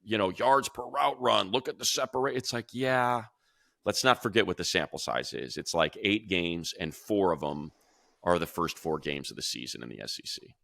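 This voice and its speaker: A man's voice: deep voice, animated, normal speed, vocal fry, sharp tone, dry recording, clean studio sound. A man giving casual, opinionated football draft commentary as a radio analyst, talking conversationally and thinking aloud. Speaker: sports radio announcer and analyst.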